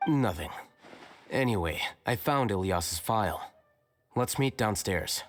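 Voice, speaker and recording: An actor playing a calm, detached scholar in a video game, speaking in a measured, matter-of-fact way. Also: male voice, on the low side. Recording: faint music behind. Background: game music.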